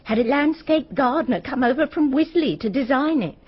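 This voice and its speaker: On a dull radio drama recording, a middle-aged local woman full of gossip. She is wry and sure of herself, in a breathy voice with lively swings of pitch. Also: brisk. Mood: gossipy. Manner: chatty, wry, opinionated. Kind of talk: radio mystery drama acting.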